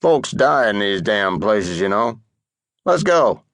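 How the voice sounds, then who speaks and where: A man's voice: on the low side, very animated, takes it slowly, fairly bright tone, no room sound, clean studio sound, exaggerated voice. A man voicing a foul-mouthed, cantankerous old cowboy with a blustering drawl in an audiobook reading. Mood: grumbling.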